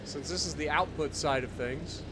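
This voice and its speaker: A man's voice: on the high side, moderate pace, background noise. A man with an Ohio accent, casually commenting while he plays a game, joking and chatting to himself and his viewers. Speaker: game streamer.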